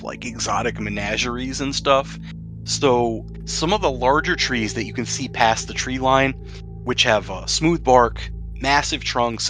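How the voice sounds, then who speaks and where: Bright-voiced man running a tabletop game. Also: medium pitch. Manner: explanatory, conversational. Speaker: game master. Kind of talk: casual tabletop game narration.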